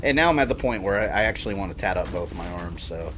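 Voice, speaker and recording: A man's voice: low voice, lively intonation, fast, noisy background. A man in his thirties chatting casually and rambling while he streams a video game. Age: 30s. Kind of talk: casual chat while gaming.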